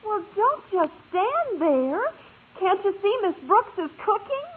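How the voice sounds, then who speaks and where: A woman's voice: very high-pitched, very animated, unhurried, exaggerated voice. An actress playing a cheerful, meddling matchmaker woman in a 1950s radio sitcom. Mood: urging. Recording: narrow, tinny old radio recording.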